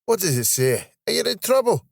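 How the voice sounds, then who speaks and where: Storybook role: male reader as a cheeky, teasing Scotsman in his thirties, pitched up, dry recording. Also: very animated, a little fast, creaky, no echo, heavily acted. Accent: Scottish. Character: playful teasing Scottish man in his thirties.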